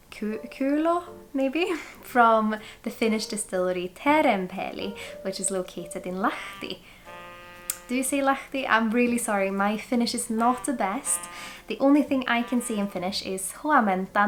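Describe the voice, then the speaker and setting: A woman in her twenties from Sweden with a Swedish accent, chatting excitedly and thinking aloud as she reviews a whisky. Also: on the high side, normal speed, faint music behind. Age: 20s. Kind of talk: casual tasting review.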